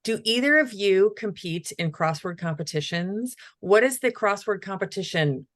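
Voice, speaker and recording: A woman hosting a live interview, asking questions in quick lists. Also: mid-range voice, expressive, a bit bright, dry recording. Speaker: interviewer, host.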